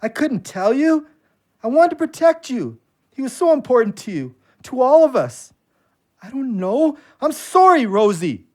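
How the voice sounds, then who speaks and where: Pleading, guilt-ridden man, swinging pitch. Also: sing-song, unhurried, darkish tone, no echo, studio quality, heavily acted. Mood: desperate, pleading. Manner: pleading, colloquial. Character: Henry, guilt-ridden drinking man haunted by dead friend. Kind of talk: emotional audio drama acting.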